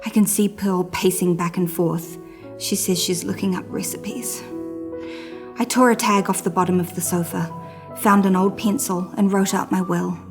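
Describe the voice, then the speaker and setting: A woman's voice: mid-range voice, fairly even intonation, normal speed, over music, lightly acted. A woman voicing a dramatic, anxious little male hamster telling his diary in a children's storybook. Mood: doomed, weary. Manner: melodramatic storytelling with hushed suspense and emphatic exclamations.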